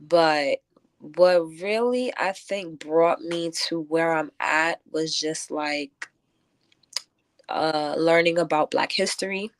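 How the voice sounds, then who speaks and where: Low voiced woman poet on a radio interview, kinda halting n thoughtful, dry close sound. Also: dry recording. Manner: thoughtful, halting, many filler likes. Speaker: guest poet and lyricist. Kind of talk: reflective radio interview answers. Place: close-miked radio show.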